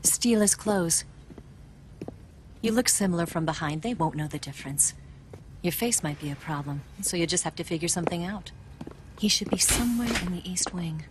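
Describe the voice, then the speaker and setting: Lowish-voiced seductive American female spy, acted by a woman. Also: expressive, normal speed, noisy background, natural voice. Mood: cool, confident. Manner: teasing, self-assured, probing. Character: confident, seductive female spy, cool and flirtatious. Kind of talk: video game cutscene voice acting. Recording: music behind the voice.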